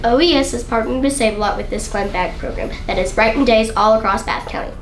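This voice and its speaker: A woman's voice: on the high side, fast, reverberant, distant mic, slight background noise. A woman narrating a school promotional video in an upbeat announcing manner.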